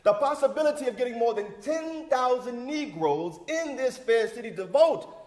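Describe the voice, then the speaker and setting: Stage monologue: male actor playing an older male civic leader, very high-pitched voice, preacherly and emphatic, big reverberant hall sound. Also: expressive, moderate pace, a little mellow. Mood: folksy, welcoming. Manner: preacherly, emphatic, folksy, rousing cadence. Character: John Wesley Dobbs, fervent older civic leader rallying a church crowd. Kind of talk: theatrical monologue, oratorical speech. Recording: echoey hall with long reverb.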